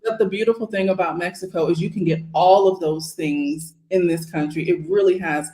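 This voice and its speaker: A woman's voice: on the low side, steady tone, fairly quick, warm tone. A woman in her late forties with an American accent chats as a guest on a live Q&A. She tells her story in a casual, conversational way. The recording is roomy, with noticeable echo around her voice. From Northern California, US. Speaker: interview guest.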